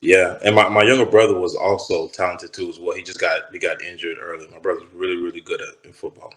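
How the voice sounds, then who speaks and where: Deep-voiced texas guy, ex football player, chatting loose and rambly in a roomy echoey space. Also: fast. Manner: relaxed, rambling with restarts. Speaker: former pro football player, guest. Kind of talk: casual interview talk.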